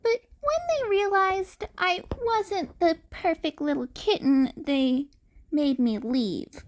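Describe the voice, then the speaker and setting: A woman's voice: extremely high pitch, animated, slow pace, voice pushed up, heavily acted. A woman voicing a young boy who is also a cat, plaintive, vulnerable and full of self-doubt. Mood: wistful, hurt.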